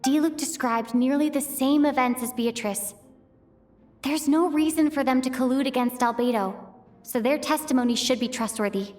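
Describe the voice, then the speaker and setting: A woman plays a young female traveler thinking through a mystery step by step, weighing each clue and questioning herself as she goes. Her voice sits a little above the usual female pitch, and faint game music runs quietly underneath. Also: normal speed, close to an everyday voice. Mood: thoughtful. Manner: methodical, reasoning aloud, questioning herself. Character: thoughtful young female traveler piecing together clues. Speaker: the traveler in a fan dub. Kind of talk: fan voice acting of a game cutscene, inner monologue.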